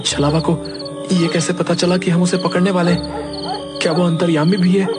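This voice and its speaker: Man playing a determined village hero, loud music behind. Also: high voice, level tone, moderate pace, close to an everyday voice. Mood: suspicious. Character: brave young village man, determined and vengeful. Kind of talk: dramatic cartoon dialogue.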